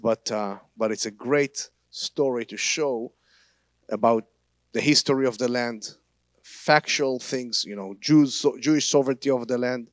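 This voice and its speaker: A man's voice: mid-range voice, no echo. Israeli man speaking with frequent restarts. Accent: Israeli Hebrew. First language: Hebrew. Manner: halting, non-native grammar with frequent restarts. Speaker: guest speaker, tour guide. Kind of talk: church teaching talk. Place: church.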